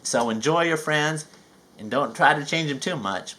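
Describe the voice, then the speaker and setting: A man reading a children's story aloud with folksy, chatty asides and drawn-out emphasis. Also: on the high side, background noise. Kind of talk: animated read-aloud narration with chatty asides.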